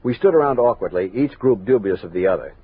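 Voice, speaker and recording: An actor playing a resolute, watchful army captain who narrates a western radio drama in measured, earnest tones. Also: male voice, fairly low pitch, expressive, breathy edge, narrow, tinny audio. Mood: grave, uneasy. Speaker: narrator and lead character. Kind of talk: 1960s western radio drama acting, first-person narration. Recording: noisy, band-limited 1960s radio recording.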